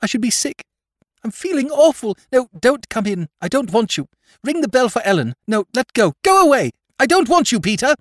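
A man voicing a distraught, fragile young aristocratic woman with a shrill, near-hysterical way of pleading. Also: lively intonation, moderate pace, darkish tone, no echo, studio quality. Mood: frantic.

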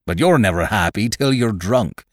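A man's voice: fairly low pitch, expressive, normal speed, no echo, clean studio sound, close to an everyday voice. A man doing a cunning old pirate cook. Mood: scheming. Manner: gruff, cunning, persuasive. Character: scheming one-legged pirate cook, old sea dog. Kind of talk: audiobook character voice.

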